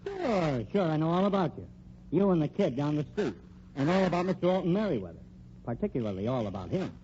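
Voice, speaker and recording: A dull, faintly noisy old radio recording carries a slick American private eye on the make. His pitch swings widely as he pushes and wisecracks in tough-guy slang, with menace under the patter. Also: big pitch swings, fast talker, dark tone. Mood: smooth, insinuating. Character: slick, menacing male private detective and con man. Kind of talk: old-time radio crime drama acting.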